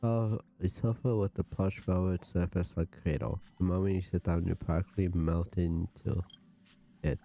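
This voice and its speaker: A woman's voice: a bass voice, steady tone, moderate pace, dark tone. A woman streaming a game with casual commentary, laid-back, terse and hesitant.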